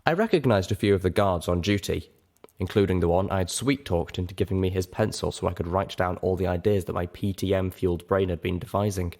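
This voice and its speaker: A man reading a first-person story as narrator, in an expressive, dramatic style. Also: deep voice, fairly quick.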